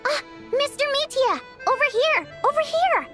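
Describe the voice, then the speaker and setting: A woman playing a bubbly, cunning young theater singer in a video game, expressive, chirpy and coaxing. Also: very high voice, moderate pace. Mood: sweet, delighted. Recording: music under the voice.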